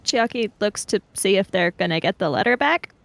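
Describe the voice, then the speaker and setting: A woman's voice: mid-range voice, lively intonation, normal speed, gravelly, fairly bright tone, no room sound. A woman playing a tabletop roleplaying game, casually telling what her character does and thinks.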